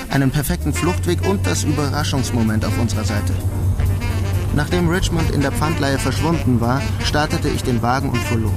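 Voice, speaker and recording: A man playing a bitter New York criminal who narrates a crime radio play in a matter-of-fact, deliberate way. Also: medium pitch, natural voice. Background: music behind the voice.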